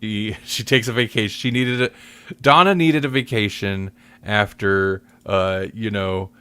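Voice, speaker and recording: A man hosting a casual podcast chat, often halting and thinking aloud as he talks. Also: lowish voice, fairly even intonation, bright, forceful tone, clear, resonant tone. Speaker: podcast host.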